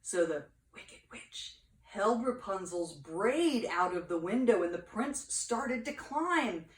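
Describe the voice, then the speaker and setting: Older woman reading in an echoey room. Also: a little high. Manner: expressive, dramatic pauses. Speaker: grandmother reading to grandchildren. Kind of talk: storybook read-aloud with playful asides. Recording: echoey room, distant mic.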